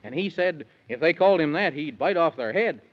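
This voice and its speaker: A man's voice: on the high side, lively intonation, a little fast, natural voice. A genial storybook narrator, played by a man reciting in rhyme for children. The delivery is 1930s radio storytelling, on a dull, muffled old recording.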